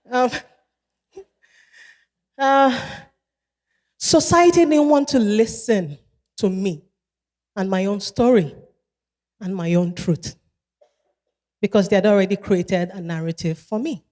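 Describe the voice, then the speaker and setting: A woman with a Nigerian accent delivers a keynote in a large event hall, her warm, mellow voice trailing into a long reverb. She speaks in a measured way and repeats key phrases for emphasis. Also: medium pitch. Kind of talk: motivational keynote speech.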